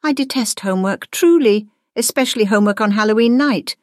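A woman voicing an excitable, curious schoolgirl in a storybook narration. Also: fairly high pitch, a little fast, no echo, clean studio sound, pitched above the performer's own voice, close to an everyday voice. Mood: puzzled.

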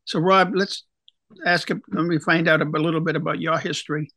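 Bright, dry-voiced man hosting a talk show. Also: on the high side, steady tone, normal speed, no room sound. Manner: casual, conversational. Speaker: radio talk show host. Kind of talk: radio interview.

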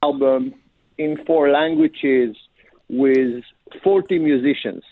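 Slow, halting man on a tinny phone line. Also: mid-range voice. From Africa. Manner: halting, many fillers, slow and careful. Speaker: singer and producer guest. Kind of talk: radio phone interview.

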